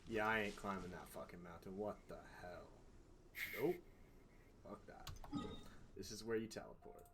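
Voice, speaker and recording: A man's voice: on the low side, normal speed, heavy background noise. A man doing casual, sweary live game commentary as a streamer, reacting aloud. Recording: loud game music behind the voice. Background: game music.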